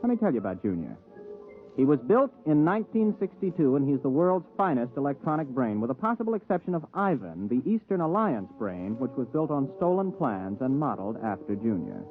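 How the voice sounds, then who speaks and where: A man's voice: medium pitch, very animated, a little fast, faint music behind. A man playing a young former rocket pilot turned computer operator in a 1950s radio drama, plain-spoken and matter-of-fact. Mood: matter-of-fact, confiding. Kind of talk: 1950s radio drama, first-person narration and dialogue. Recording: thin phone-like old radio recording.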